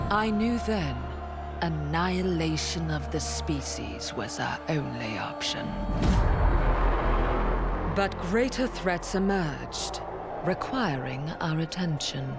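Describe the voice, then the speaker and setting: Ancient godlike being for a game cinematic: slow, solemn, vengeful, under loud music. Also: medium pitch. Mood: bitter, grave. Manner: grave, solemn, portentous. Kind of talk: dramatic video game cutscene monologue.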